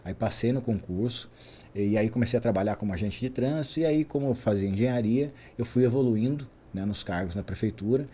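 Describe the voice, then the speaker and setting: A Brazilian man in his forties speaks as a podcast guest, with a mellow, somewhat husky voice. He tells his story in an animated, chatty way, often checking in with a quick question. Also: medium pitch. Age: 40s. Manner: animated, frequent "né?" tags. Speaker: guest, traffic safety specialist. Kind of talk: relaxed storytelling interview.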